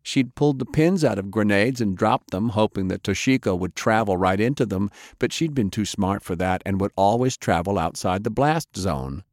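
A man's voice: lowish voice, lively intonation, normal speed, few pauses, darkish tone, no echo, clean studio sound. A man narrating action fiction as an audiobook, in a dramatic scripted style.

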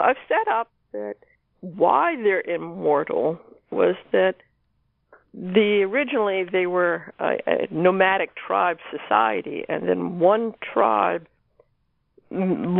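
A woman's voice: fairly low pitch, expressive, slow pace, vocal fry, dry recording. A woman author being interviewed, talking casually about her own work. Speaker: guest author discussing own novel. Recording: narrow phone-like line.

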